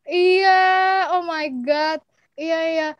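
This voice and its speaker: A young woman with an Indonesian accent chatting casually as an interview guest, expressive and giggly, mixing in English and German words. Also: extremely high pitch, drawn-out words, no echo. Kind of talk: casual chat.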